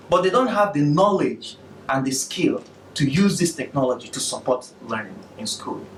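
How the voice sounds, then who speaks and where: A man with a Nigerian accent giving a presentation to an audience in a measured, emphatic way. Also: high voice, a bit bright, roomy sound, far from the mic, a little hiss or hum, poor audio. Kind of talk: capstone presentation.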